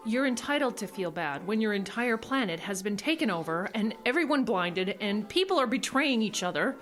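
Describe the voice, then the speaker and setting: A woman's voice: mid-range voice, animated, normal speed, fairly bright tone, soft background music, lightly acted. A woman playing a bitter, sarcastic young woman in an audio drama, blunt and weary in manner.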